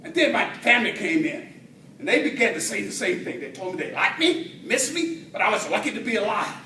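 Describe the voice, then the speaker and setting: A man with a very high, bright voice performs a comic tall tale as a speech. He draws words out theatrically, with dramatic pauses and swells. The recording is very roomy and picked up from a distance. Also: sing-song. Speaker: speech contest storyteller at a Toastmasters club. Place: indoor room with an audience. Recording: roomy, distant mic, faint noise.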